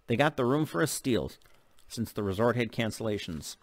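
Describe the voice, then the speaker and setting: A man's voice: mid-range voice, animated, normal speed, dry recording. A middle-aged man reading a novel aloud in an audiobook narration style. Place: open living room at home. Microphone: desk microphone close in front.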